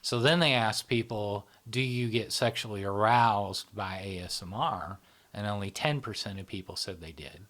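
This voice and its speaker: A man's voice: lowish voice, moderate pace, fairly bright tone. A man in his fifties who hosts a casual psychology podcast chat, explaining things in small steps and checking in with "right?". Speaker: podcast host.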